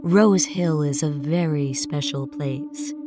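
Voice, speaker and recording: A woman voicing a pleasant, politely firm middle-aged male building manager, speaking slowly and gently in an audio horror story. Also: low-pitched, lively intonation, over music, voice pushed down. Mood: calm, firm. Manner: slow, gentle, measured.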